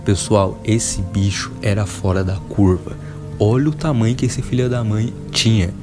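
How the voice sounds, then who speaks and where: Brazilian male host, lower register, informal and emphatic enthusiast commentary over clearly audible music. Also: steady tone, normal speed. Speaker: video host. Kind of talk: casual enthusiast video commentary.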